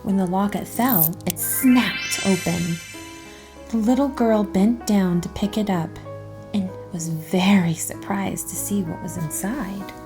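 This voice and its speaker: A woman narrating a children's storybook aloud in an expressive, careful storytelling manner. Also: mid-range voice, slow, music in the background.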